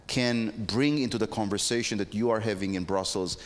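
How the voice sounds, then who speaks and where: A man's voice: on the low side, a little fast. A man giving a reflective conference talk, hesitating and restarting his phrases. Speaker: panel speaker. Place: conference hall. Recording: long hall reverb.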